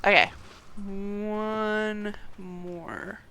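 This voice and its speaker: Slow talking gamer lady, bright clear voice, mumbling little bits to herself while she plays. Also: medium pitch, steady tone, a little hiss or hum. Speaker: game streamer. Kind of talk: casual live gameplay chat.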